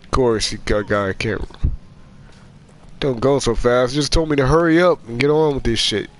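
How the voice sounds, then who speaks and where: A man's voice: mid-range voice, normal speed, slight background noise. A man streaming a game who sounds nasal from a cold, swearing and trash-talking the characters as he plays. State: sick with a cold. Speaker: gaming streamer. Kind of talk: let's play commentary.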